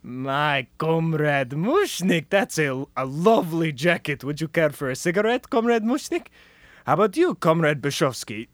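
A pompous Soviet bureaucrat in a comic radio play, acted by a man. His voice sits fairly high and swings widely in pitch. He is self-important and oily, able to threaten or fawn, recorded dry and close. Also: big pitch swings, normal speed, no echo. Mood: fawning, grand. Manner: theatrical, self-important, unctuous. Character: pompous male Soviet office manager, menacing turned fawning.

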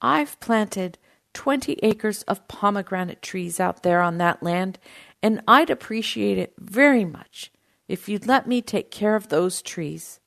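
A woman narrator plays a proud, stubborn farmer uncle from a short story. He is an excitable dreamer who speaks with great insistence. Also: medium pitch, a little slow, vocal fry. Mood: pleading. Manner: shouting indignantly over the phone.

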